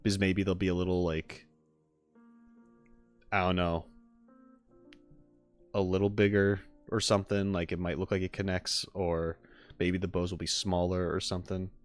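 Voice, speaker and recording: A man's voice: low-pitched, little pitch movement, normal speed, long pauses, faint music behind. A man chatting casually as a streamer, rambling through his opinions in a tentative, hedging way. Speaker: streamer reacting with live chat. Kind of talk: casual rambling livestream chat.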